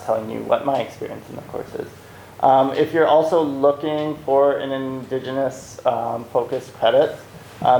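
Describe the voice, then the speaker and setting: A man with a creaky, gravelly voice and fairly flat intonation talks informally as a teacher to an assembly. The room is clearly echoey. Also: mid-range voice. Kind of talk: informal course presentation to a school assembly. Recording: echoey hall, faint background noise.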